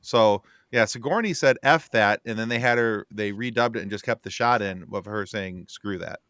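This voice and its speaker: A man's voice: lowish voice, moderate pace, a bit bright, dry recording, studio quality. A man chatting casually about films as a podcast panelist. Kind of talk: casual film discussion.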